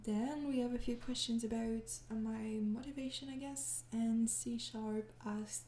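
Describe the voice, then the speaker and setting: Young french woman, sleepy and unsure, mellow voice, kinda echoey room. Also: a little high, fairly even intonation, unhurried, a little hiss or hum. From France. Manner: hesitant, apologetic, frequent soft laughs, slips into whispers. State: tired. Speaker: ASMR audio creator answering fan questions. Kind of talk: soft-spoken casual Q&A.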